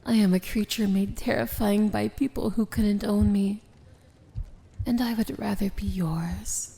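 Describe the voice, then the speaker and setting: A powerful dark fantasy princess, voiced by a woman, speaks very slowly in a mellow, creaky voice. She is tender and solemn, with formal, poetic phrasing that often slips into a whisper, in the style of romantic ASMR roleplay. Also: mid-range voice, steady tone, a little hiss or hum. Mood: tender, earnest.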